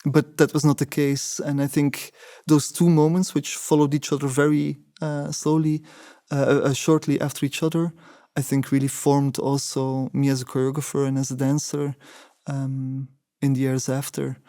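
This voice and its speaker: A man in his forties whose first language is Dutch, answering interview questions in a thoughtful way, often pausing and restarting. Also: medium pitch, level tone, dark tone, no echo, clean studio sound. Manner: thoughtful, many hesitations and restarts. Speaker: interviewee, choreographer.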